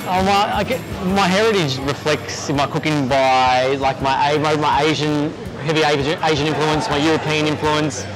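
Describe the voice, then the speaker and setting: An easygoing Australian man with a voice that sits a little high for a man, chatting casually in an interview. He trips over his words and restarts often. Music plays clearly behind him in a restaurant. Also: steady tone, poor audio. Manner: easygoing, halting with many restarts and fillers. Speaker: executive chef, interview guest.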